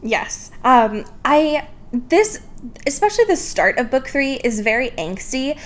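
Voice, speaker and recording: A woman's voice: sits high, very animated, measured pace. A woman of twenty-three co-hosting a podcast in casual book chat, informal and wry, poking fun at herself and swearing casually. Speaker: podcast co-host. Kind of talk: casual chatty book discussion.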